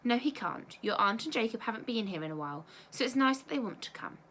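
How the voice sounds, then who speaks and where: A woman's voice: on the high side, animated, fast talker, fairly bright tone, a little hiss or hum. Woman reading as an apologetic, coaxing storybook mum. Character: apologetic, coaxing mother of a nine-year-old.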